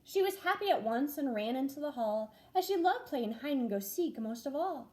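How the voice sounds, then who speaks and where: A young first grade teacher reads a rhyming picture book aloud in a bright, high voice. Her delivery is sing-song and animated, rising and falling with the verses. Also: moderate pace. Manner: expressive, sing-song storytelling.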